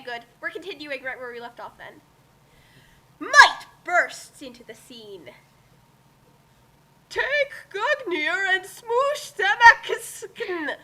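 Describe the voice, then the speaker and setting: High-pitched woman on an online call. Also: pushed and bright. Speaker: host of a group dramatic fanfic reading. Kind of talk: theatrical reading mixed with casual chat.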